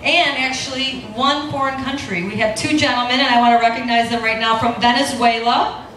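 A woman's voice: fairly high pitch, steady tone, fairly quick. A woman welcoming students to a camp, upbeat and calling out to the audience over a PA in a big tent. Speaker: event organizer. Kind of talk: welcome speech to a crowd. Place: large event tent, from far off. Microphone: PA system, recorded by a phone in the audience. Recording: echoey tent, noisy crowd, distant. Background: crowd cheering.

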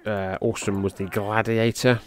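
A man's voice: moderate pace, slight background noise. Man in casual, rambling wrestling commentary. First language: English. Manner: loose, rambling, swears casually, gets excited at high spots. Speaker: podcast host commentating a match.

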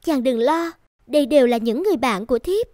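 An actress playing an alluring young maiden from a painting in an animated fairy tale, coy and coaxing. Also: female voice, high voice, a little fast, no echo, clean studio sound. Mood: reassuring.

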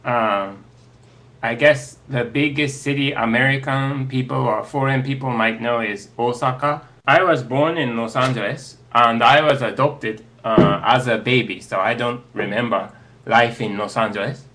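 A man in his thirties whose first language is Japanese, answering audience questions on a video call in a slow, hesitant way with many fillers. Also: mid-range voice, bright, forceful tone. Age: 30s. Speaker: interview guest. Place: home study, indoors. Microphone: earbud headset on a video call.

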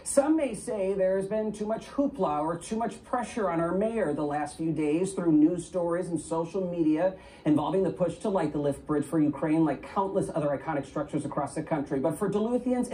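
A man reporting the local news in a measured broadcast delivery, heard played from a television. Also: a little high, mellow, recorded from a distance, poor audio. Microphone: broadcast mic, replayed from a TV set. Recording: TV audio recorded off a speaker.

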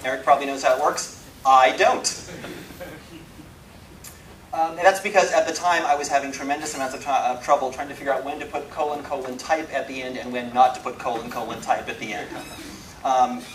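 A man giving an informal technical talk, emphatic and wryly self-deprecating, with dramatic pauses for effect. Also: mid-range voice. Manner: animated, self-deprecating, wry humour. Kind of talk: informal live lecture to a programmer audience. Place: indoor talk room. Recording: roomy, distant mic, some background noise.

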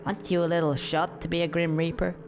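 A man's voice: fairly high pitch, level tone, fast talker, noisy background, close to an everyday voice. A man voicing a naive, bemused young male squirrel in a video game, hesitant and polite with a touch of cheek. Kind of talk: video game cutscene dialogue.

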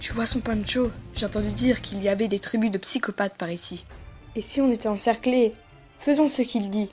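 A woman's voice: fairly high pitch, fairly quick, soft background music, lightly acted. A woman voicing a jumpy, fearful tourist in a French comic dub. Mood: scared, urgent.

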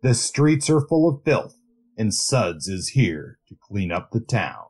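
A man's voice: fairly low pitch, big pitch swings, measured pace, darkish tone. A man co-hosting a podcast, talking about books in a conversational, loosely hedged way. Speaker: podcast co-host. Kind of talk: casual book discussion.

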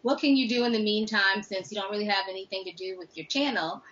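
A woman as a guest on a show, speaking from home over a video call, relaxed and matter-of-fact. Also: mid-range voice, quick pace. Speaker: guest, a mother. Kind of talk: casual interview answer. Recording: dull video call audio.

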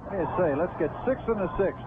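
A man's voice: high-pitched, expressive, fast. A man calling baseball play-by-play live on the radio in short, clipped phrases from a stadium booth. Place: broadcast booth at outdoor stadium. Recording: tinny, very noisy 1970s AM radio broadcast.

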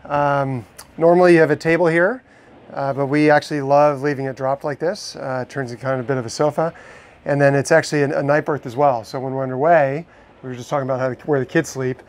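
A man's voice: medium pitch, fairly even intonation, fast. A man in his thirties giving an enthusiastic, explanatory tour of his catamaran, talking casually with frequent restarts, recorded on a lapel mic on board. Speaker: boat owner giving a tour. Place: inside a catamaran saloon, docked.

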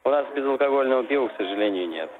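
A man's voice: mid-range voice, animated, racing, a crowd in the background. A man working as a restaurant administrator, taking an order by phone in a patient, dry, polite way. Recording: tinny noisy phone line.

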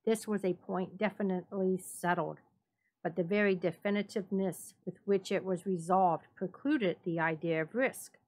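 A woman narrating a classic story as a dramatic reading, measured and deliberate. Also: lowish voice, darkish tone, poor audio.

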